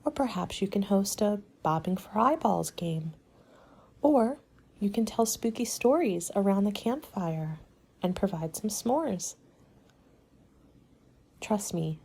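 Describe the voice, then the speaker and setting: A woman playing a courteous, helpful party planner in a soft-spoken ASMR roleplay, with a measured, polite, advisory manner and soft, breathy delivery. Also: medium pitch, lively intonation, exaggerated voice. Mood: calm, helpful.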